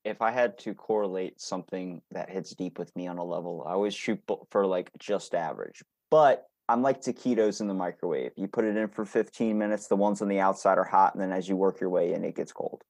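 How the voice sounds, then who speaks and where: Low-voiced man chatting casually, very dry sound. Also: fairly quick, few pauses, a little mellow, no echo. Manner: self-deprecating, swears freely. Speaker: podcast host. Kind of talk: casual chat.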